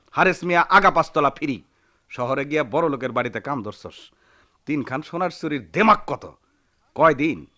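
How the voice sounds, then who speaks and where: A man's voice: sits high, pushed and bright. An actor playing a hot-headed, swaggering young village man with a rural Bangladeshi accent in a radio drama, brash, boastful and scornful.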